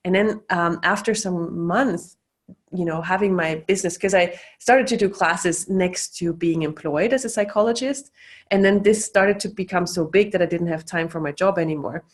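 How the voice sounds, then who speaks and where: Lady chatting on a video call, kinda low voice, lots of ums and likes. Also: normal speed. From Austria. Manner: loose and chatty, many fillers and restarts. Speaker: facilitator. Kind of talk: casual teaching talk.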